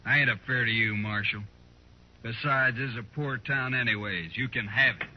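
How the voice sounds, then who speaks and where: An actor playing a swaggering, suspicious Old West outlaw in a 1950s radio western, with boastful, folksy cowboy talk. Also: male voice, mid-range voice, moderate pace, close to an everyday voice. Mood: surly. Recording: dull vintage radio recording.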